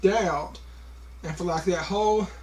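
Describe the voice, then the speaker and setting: A man's voice: high voice, darkish tone, poor audio. A man talking casually to a camera, with a heavy stutter, repeating sounds and stopping on words, with many fillers. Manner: slow, halting, many fillers. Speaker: vlogger.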